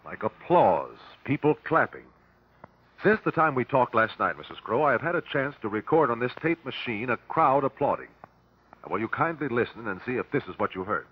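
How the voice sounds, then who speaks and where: An actor playing a shrewd, relentless detective at an inquest, measured and authoritative, in vintage radio drama style. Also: male voice, medium pitch, sing-song, husky. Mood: probing, formal. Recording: dull vintage radio broadcast recording.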